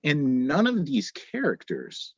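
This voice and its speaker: A man's voice: fairly high pitch, wildly swooping pitch, takes it slowly, slightly rough, no echo, muffled recording. A man speaking in an earnest, reflective way as a writer discussing his own stories. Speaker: storyteller and writer.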